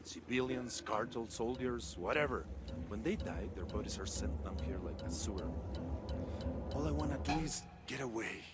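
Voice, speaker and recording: An actor playing a fearful, weary cartel engineer held prisoner in a video game, talking bleakly. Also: male voice, on the low side, animated, moderate pace, close to an everyday voice. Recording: noisy background ambience.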